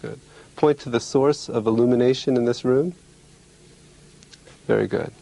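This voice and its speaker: A man speaking as a clinician demonstrating an exam, giving polite, measured, clearly worded instructions and explanations. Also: mid-range voice, warm tone.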